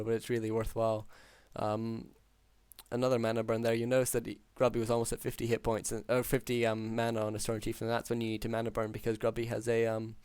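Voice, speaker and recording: A man with a hoarse but smooth voice calls a strategy match on his own. He drifts through the plays with ums and quick reads, pitch barely moving. The sound is dry and close, with no room around him. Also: dry recording. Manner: steady, filler-heavy analytical play-by-play. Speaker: game caster. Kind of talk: solo esports play-by-play commentary.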